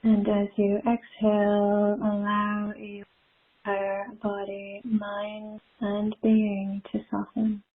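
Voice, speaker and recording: Woman, guided meditation leader, very slow pace, gentle and soothing, long pauses, tinny phone-like call audio. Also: mid-range voice, completely flat delivery.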